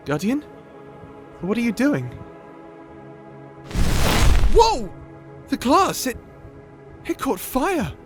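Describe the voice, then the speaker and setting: Young male wizard, played by a man, audio drama: high, very animated, nerdy, self-doubting stammerer, music underneath. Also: full character voice. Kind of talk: scripted audio roleplay acting.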